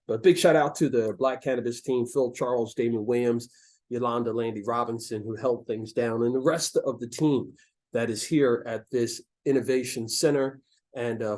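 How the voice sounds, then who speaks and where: An American man hosting a talk show, speaking to his audience in a measured, halting way with emphatic stresses and a slightly crackly voice after losing it. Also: medium pitch, darkish tone. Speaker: host of a local talk show.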